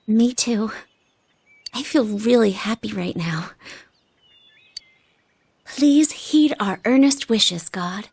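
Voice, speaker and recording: An actress playing a shy, polite young woman in anime game dialogue, soft-spoken and demure. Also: on the high side, slow pace, gravelly, long pauses, slight background noise. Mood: happy, tender. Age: young adult.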